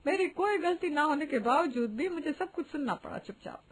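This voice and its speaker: A woman's voice: high voice, animated, moderate pace. A woman voicing a prim, disapproving married woman who gossips and laments dramatically in a Hindi moral-story cartoon. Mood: aggrieved.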